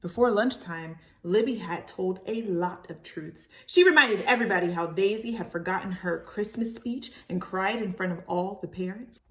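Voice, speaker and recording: A woman reads a children's storybook aloud expressively, stopping for animated asides to her young listeners. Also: mid-range voice, normal speed, few pauses, echoey room, poor audio. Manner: animated, addresses listeners as friends.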